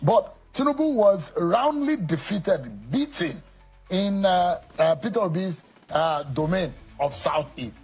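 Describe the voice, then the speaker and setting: A man in his role as interviewer speaks in a very raspy voice with lively, swinging pitch. Faint music plays under his questions. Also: takes it slowly, poor audio. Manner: reads out vote figures, challenges the guest. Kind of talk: political interview.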